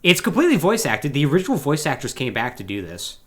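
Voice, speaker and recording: A man's voice: on the high side, huge pitch swings, fast talker, fairly bright tone. A man talking casually as a podcast co-host, explaining game storylines in a rambling, joking, exasperated way.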